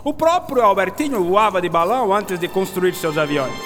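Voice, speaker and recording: Eccentric Italian inventor, very high-pitched, theatrical accent, fond and boastful, radio play, reverberant. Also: male voice, animated, normal speed, fairly bright tone, faint music behind. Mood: animated storytelling. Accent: put-on Italian. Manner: theatrical storytelling peppered with Italian words. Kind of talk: radio drama acting.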